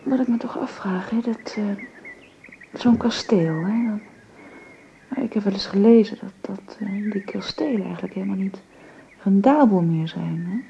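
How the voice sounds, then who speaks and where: A woman playing a newlywed bride in an intimate radio drama, murmuring softly and breathily, a little hesitant. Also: medium pitch, normal speed, gravelly, close to an everyday voice. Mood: curious, probing. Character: dreamy, curious newlywed bride on honeymoon. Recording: muffled, hissy old radio recording.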